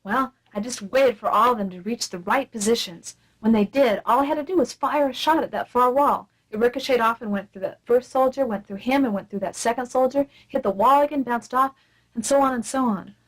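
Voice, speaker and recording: An actress playing a brisk, deadpan, matter-of-fact female secret agent in a comic radio play. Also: on the high side, lightly acted, poor audio. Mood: coolly deadpan.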